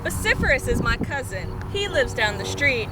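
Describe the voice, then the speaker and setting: Disorganized, easygoing schoolboy narrator for a storybook, read by a woman, high and animated. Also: moderate pace, heavy background noise. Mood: matter-of-fact. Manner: plain storybook read-aloud.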